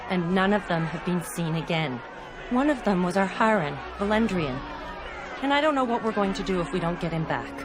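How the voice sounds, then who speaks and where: A woman's voice: mid-range voice, noisy background, lightly acted. An actress voicing a fiery, suspicious young elf woman from a slum in a video game, blunt and urgent. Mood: worried, bitter.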